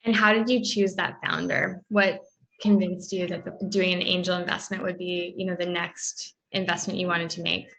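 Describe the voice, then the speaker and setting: A woman's voice: on the low side, level tone, moderate pace, creaky, fairly bright tone, low-quality recording. A woman hosting an interview, upbeat and welcoming as she asks questions. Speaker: podcast host.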